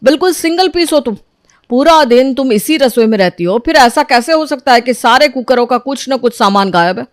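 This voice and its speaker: A woman voicing a bossy, sarcastic young husband in an animated story, scolding his wife. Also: high-pitched, brisk, no room sound, studio quality.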